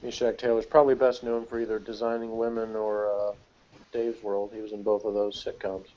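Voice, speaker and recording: A man's voice: lowish voice, completely flat delivery, a little fast, warm tone. A man chatting casually on a review panel over a video call, with an amused, digressive, trivia-minded way of talking. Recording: dull lo-fi video call audio.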